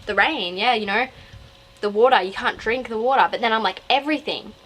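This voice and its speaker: Higher-pitched young Australian woman, chatty. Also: brisk, a bit bright, rough recording. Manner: rambling, digressive, self-interrupting. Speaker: book review vlogger. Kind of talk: casual, chatty book review to camera.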